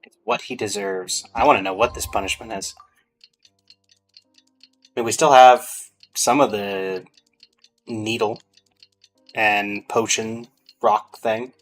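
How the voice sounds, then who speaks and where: A man's voice: fairly low pitch, fairly even intonation, takes it slowly, long pauses. A man giving informal gameplay commentary, musing aloud to himself as he plays. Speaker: game streamer. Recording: game music behind the voice.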